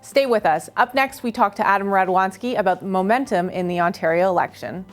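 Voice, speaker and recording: A woman's voice: mid-range voice, fairly quick, faint music behind. Woman hosting a web news show. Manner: crisp scripted delivery, conversational in interview. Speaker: host.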